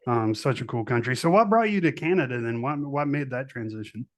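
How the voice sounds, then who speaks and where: A man's voice: mid-range voice, lively intonation, brisk, dry recording. A middle-aged man hosting a podcast in casual interview chat, talking enthusiastically and repeating words for emphasis. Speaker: podcast host.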